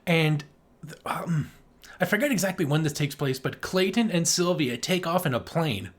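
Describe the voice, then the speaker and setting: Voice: medium pitch, expressive, normal speed. An enthusiastic podcast co-host in casual film discussion, given to acting out sound effects and swearing casually.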